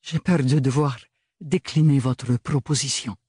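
A man's voice: mid-range voice, steady tone, a little slow, mellow, slightly rough, dry recording, studio quality, voice pushed up. A man voicing a proud, wary noblewoman who speaks in a cool, formal, guarded way.

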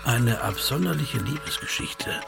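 An actor playing a wry narrator of a wicked underworld tale in a literary radio play, his voice rough and his delivery savouring. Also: mid-range voice, lively intonation, measured pace, music in the background.

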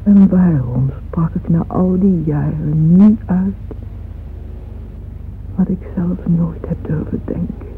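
Weary old woman, very slow halting delivery, anguished and mournful, vintage radio drama, dull muffled recording. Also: lowish voice, a little hiss or hum. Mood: wistful, confused. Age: older. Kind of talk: old Dutch radio play acting.

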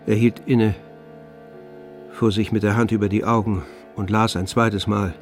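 A man narrating a literary radio drama in a measured, grave manner. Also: fairly low pitch, little pitch movement, soft background music.

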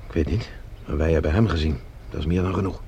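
Terse escaped prisoner on Mars, radio drama, deep register, protective, some background noise. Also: male voice, quick pace, gravelly, mellow, dull audio. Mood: hushed, reassuring. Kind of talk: science fiction radio drama acting. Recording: noisy scene with background ambience.

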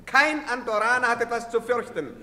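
An actor playing a brutal, coarse soldier who barks impatient commands in a stage drama. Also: male voice, extremely high pitch, moderate pace, pushed and bright, full character voice. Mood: officious, stern. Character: brutal barking soldier marshalling frightened townspeople. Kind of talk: theatrical stage drama acting. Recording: reverberant theatre hall recording.

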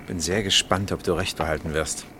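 A very deep-voiced, sceptical gentleman companion. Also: steady tone, brisk, noisy background. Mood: curious, cautious. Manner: questioning, incredulous, hesitant. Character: sceptical, puzzled gentleman companion, sensible and questioning. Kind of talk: German radio play acting.

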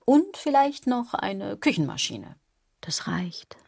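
An actress playing a sardonic, brooding woman in a radio drama monologue, with a husky, theatrical delivery that slips into breathy tones. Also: medium pitch, wildly swooping pitch, takes it slowly, noisy background, full character voice. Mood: wistful, self-mocking. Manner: ironic, theatrical, shifting into breathy whispers. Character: sardonic, brooding woman philosophising bitterly about fashion.

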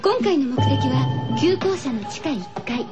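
An actress playing a sceptical senior schoolgirl who narrates an audio drama in a measured, dry storytelling way. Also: high-pitched, expressive, dull audio. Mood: weary, ominous. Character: sceptical senior schoolgirl narrating her ghost-hunting club's log. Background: loud music.

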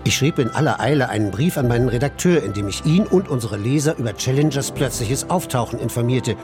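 A man's voice: medium pitch, lively intonation, brisk, natural voice. Man playing a journalist narrator over music. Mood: measured, storytelling. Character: male journalist recounting his adventure. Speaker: first-person narrator. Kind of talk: scripted radio drama narration. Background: prominent music.

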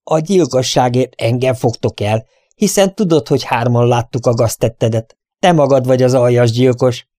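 A man's voice: mid-range voice, lively intonation, gravelly, dark tone, dry recording, clean studio sound, pitched above the performer's own voice. Man voicing a bold, indignant young cowboy. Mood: outraged.

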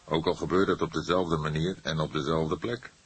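A man voicing a weary, somber older police detective in an audiobook, speaking in a languid, measured manner. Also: extremely low pitch, steady tone, breathy edge. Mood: businesslike.

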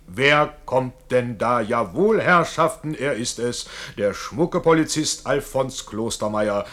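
Guy doing an old-school showman narrator for a radio play, florid n ceremonial, bit ironic, medium male pitch. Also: natural voice. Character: showman-like narrator presenting tableaux with grand flourish. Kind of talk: theatrical radio drama narration.

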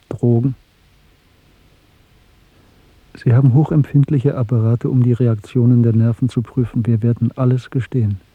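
A muffled, dry radio drama recording of an embittered male dissident played by an actor. His voice is husky and he speaks in brooding, declamatory phrases, as a fatalist who hates the regime he lives under. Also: medium pitch, no room sound, close to an everyday voice. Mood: grim, resigned. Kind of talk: German radio drama acting.

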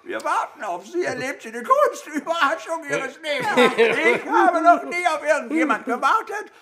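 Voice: sits high, lively intonation, normal speed, a loud crowd. An actor playing a rich, boozy party guest in a radio drama, imperious, impatient and vain. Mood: indignant. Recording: music behind the voice. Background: music.

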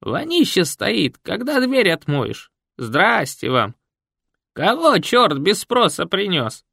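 A man reading an audiobook, voicing a cantankerous elderly village woman who scolds slowly and rudely. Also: sits high, big pitch swings, creaky, dry recording, studio quality, pitched above the performer's own voice, exaggerated voice. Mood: irritated. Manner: slow, grumbling and sharp. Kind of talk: audiobook character voice.

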